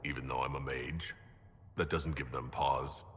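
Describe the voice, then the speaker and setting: An actor playing an earnest, polite young nobleman mage in a video game, speaking in a measured, sincere way. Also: a bass voice, fairly even intonation, a bit bright, a little hiss or hum. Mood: earnest, candid. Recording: long reverb of a hall.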